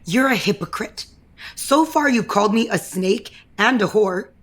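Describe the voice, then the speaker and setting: A woman's voice: mid-range voice, animated, measured pace, fairly bright tone. An actress playing a scheming, ambitious young woman in an audio soap opera, with a taunting, self-assured and confrontational manner. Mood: scornful. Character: scheming, brazen ambitious young woman, office power player.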